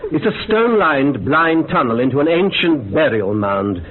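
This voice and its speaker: Theatrical ghost investigator, thin phone-like sound. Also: male voice, a little high, very animated, normal speed. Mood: macabre relish. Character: eager male ghost investigator, macabre storyteller and guide. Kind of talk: radio horror drama acting. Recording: thin phone-like radio recording, faint noise.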